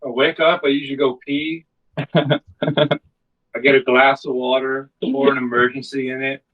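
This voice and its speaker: A man's voice: mid-range voice, level tone, a little slow, phone-quality sound. A man in his twenties from New Jersey, chatting casually as a musician in an interview. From Newark, New Jersey, United States. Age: 20s. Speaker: band musician being interviewed. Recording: voice distant from the mic.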